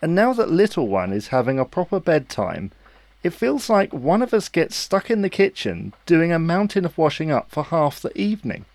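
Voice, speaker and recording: An actor playing a patient, reasonable husband in a domestic audio drama, with a gentle, reassuring, persuasive manner. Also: male voice, a little high, big pitch swings, normal speed, dry recording. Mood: gently persuading. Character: Harry, patient, reasonable husband and new father, coaxing.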